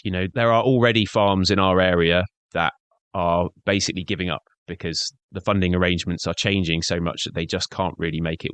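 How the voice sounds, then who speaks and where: Deep-voiced earnest conservationist man. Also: fairly bright tone, dry recording. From born in Scotland, grew up in Devon. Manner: earnest, frequent "you know" fillers. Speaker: conservationist, guest. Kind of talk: conversational interview answers.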